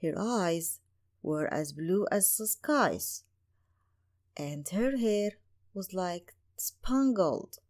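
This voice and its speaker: A woman's voice: lowish voice, animated, extremely slow, darkish tone. A woman narrating a scripted bedtime story for children as a storyteller. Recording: music playing behind. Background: music.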